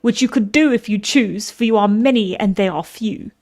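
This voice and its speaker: A woman's voice: medium pitch, moderate pace, darkish tone, natural voice. A woman voicing a stern, indignant old male counselor, declaiming to an assembly.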